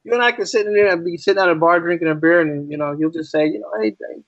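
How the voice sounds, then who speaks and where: A man's voice: a little high, fast, dry recording, dull audio. A man from the American East Coast, a teacher talking as a podcast guest, explaining his ideas conversationally and often checking in with rhetorical questions. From United States, East Coast. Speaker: podcast guest, English as a second language teacher. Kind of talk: casual interview conversation.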